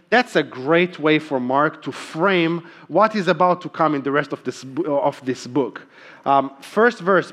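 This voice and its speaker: A man's voice: on the high side, fairly bright tone. A man preaching a sermon as a church pastor, earnest, with frequent ums and emphatic repeated key phrases. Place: church sanctuary.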